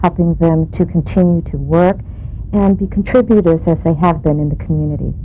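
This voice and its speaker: A woman's voice: on the low side, fairly quick. A woman explaining earnestly in an interview soundbite, recorded on location. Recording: dull, clearly noisy location sound.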